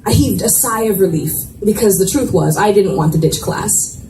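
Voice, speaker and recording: Expressive woman narrator in an echoey room. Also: medium pitch, moderate pace, soft background music. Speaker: reader of a romance novel. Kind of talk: expressive first-person scripted narration, read live. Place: room at a live school reading event. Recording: echoey room, distant mic, noisy ambience.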